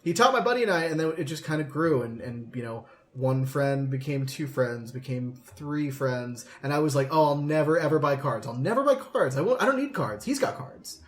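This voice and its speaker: A man's voice: fairly high pitch, expressive, normal speed, few pauses. A man co-hosting a casual podcast chat, telling anecdotes conversationally and swearing casually. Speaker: podcast co-host.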